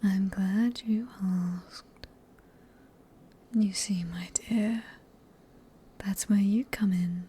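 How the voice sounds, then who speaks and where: A woman with a British accent playing a sadistic, possessive vampire in a whispered ASMR roleplay, speaking in a breathy, teasing, genteel and condescending manner. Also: medium pitch, fairly even intonation, extremely slow, pauses often, mellow, slight background noise. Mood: coolly bargaining. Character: sadistic, possessive female vampire, silkily polite and manipulative.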